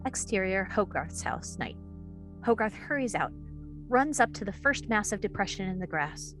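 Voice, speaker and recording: A woman's voice: mid-range voice, lively intonation, normal speed, a bit bright, soft background music. Woman narrating screenplay stage directions.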